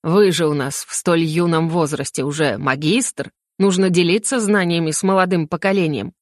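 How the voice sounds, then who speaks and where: A woman voicing a smug, sarcastic male mage official in an audiobook, with a slow, mock-courteous, sneering delivery. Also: lowish voice, no room sound, studio quality, natural voice. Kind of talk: audiobook character acting.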